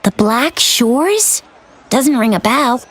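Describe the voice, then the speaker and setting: A woman's voice: on the high side, lively intonation, takes it slowly, slightly rough, full character voice. A woman voicing a cheerful, playful young woman in a video game, with a casual, slangy, enthusiastic way of talking. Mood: admiring, breezy. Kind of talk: video game character dialogue.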